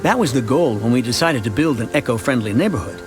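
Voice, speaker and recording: Cartoon narrator, played by a man: contented male townsman, conversational first-person storytelling, very varied pitch, music underneath. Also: expressive, quick pace, close to an everyday voice. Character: contented everyman townsman telling his city's story.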